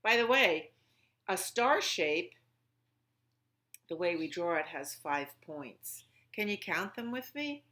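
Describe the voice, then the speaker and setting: A woman hosting a library storytime for young children, speaking in simple phrases with questions and pauses as she chats and reads aloud. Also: on the low side, very animated, bright, forceful tone. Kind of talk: children's storytime with songs, rhymes and read-aloud.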